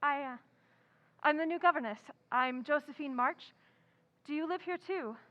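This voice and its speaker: Woman acting as a high-pitched, earnest young heroine. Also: moderate pace, lo-fi sound, close to an everyday voice. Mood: flustered, eager. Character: impulsive, earnest, tomboyish young woman writer. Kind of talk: old-time radio drama acting.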